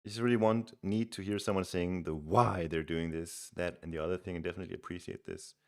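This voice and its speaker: Low-voiced Danish man chatting on stream. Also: quick pace. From Denmark. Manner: relaxed, chatty, restarts words often. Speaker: streamer and game coach. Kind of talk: casual live-stream chat and game commentary.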